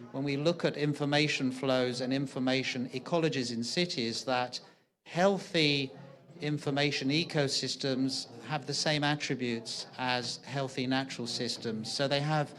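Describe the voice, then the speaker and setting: Man, middle pitch, thoughtful, hesitant, conference panel talk. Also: fairly bright tone. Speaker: panel speaker on early warning systems.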